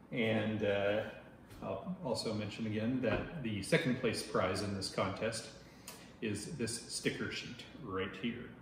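A man in his forties from Oregon hosts an insect channel, chatting to the camera in a loud voice with lots of filler words. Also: mid-range voice. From Oregon, United States. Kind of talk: casual talk to camera. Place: dining room at home, indoors. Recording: very echoey room, faint noise.

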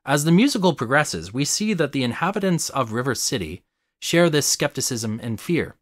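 A man's voice: mid-range voice, fairly bright tone, no echo. Man narrating a dry, close-miked video essay.

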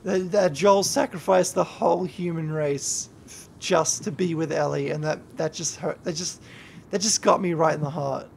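A tipsy young man streams a game and rambles, cursing freely and breaking into yells at the screen. His voice sits high for a man and has a gravelly rasp. A faint hiss runs under it. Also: steady tone, moderate pace. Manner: rambling and heavy swearing, yelling at the game. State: drunk. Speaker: YouTuber and streamer. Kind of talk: gameplay livestream commentary.

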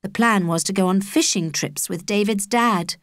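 A woman's voice: medium pitch, moderate pace, no room sound, clean studio sound. A woman with a British accent reading a children's story as an audiobook narrator.

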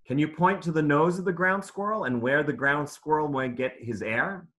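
A man's voice: on the high side, normal speed, a bit bright. A man reading aloud and teaching young children in simple, encouraging, instructive phrases during an online lesson. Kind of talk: interactive children's read-aloud lesson over video call.

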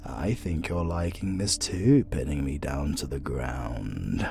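A man's voice: a bass voice, expressive, creaky, darkish tone, faint music behind, heavily acted. A British man playing a flirtatious, sardonic supervillain in a romantic comedy audio roleplay, drawling and teasing theatrically. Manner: slow, drawling, teasing, dramatic.

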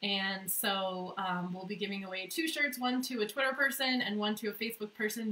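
Casting: American woman, livestream host, mid-pitched and bright, slightly congested from a cold, halting casual delivery. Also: a little hiss or hum, rough recording. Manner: informal, halting, improvised. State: sniffly with a cold. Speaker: livestream host, national director of an advocacy group. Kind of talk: casual livestream chat to viewers. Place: corner of a kitchen in a house, indoors.

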